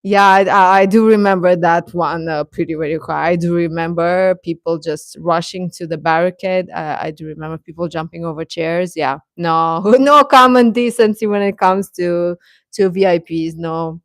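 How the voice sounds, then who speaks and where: A woman with a slightly low voice hosts a casual livestream, talking straight to her viewers. Her delivery is halting and conversational, with hesitations as she thinks aloud. The sound is close and dry, with little room in it. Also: no room sound. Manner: hesitant, halting, chuckles often while talking. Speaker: livestream host reading viewer comments. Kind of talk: casual livestream chat commentary.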